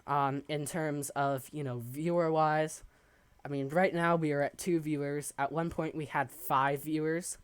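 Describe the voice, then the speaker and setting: Hoarse young man streaming, slow and rambling. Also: on the high side, steady tone, no room sound. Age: young adult. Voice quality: raspy from coughing. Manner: rambling, halting, many ums. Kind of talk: casual live-stream chat while building. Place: home desk.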